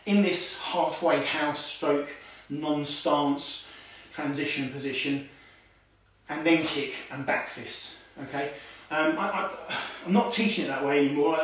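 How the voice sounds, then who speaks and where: Man, forties, karate teacher, demonstrating while talking, lots of "okay", heard from a distance, very echoey room. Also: on the high side. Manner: talks while demonstrating moves, calls out commands; many "okay"s and fillers, relaxed teaching tone. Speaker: karate instructor. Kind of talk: online martial arts lesson. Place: home room with tiled floor. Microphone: far camera on a stand.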